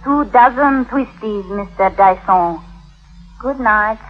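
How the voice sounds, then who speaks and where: Coy, high-voiced young Victorian wife. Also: female voice, slow pace, over loud music. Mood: cool, coy. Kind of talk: old-time radio drama acting. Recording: dull vintage radio recording.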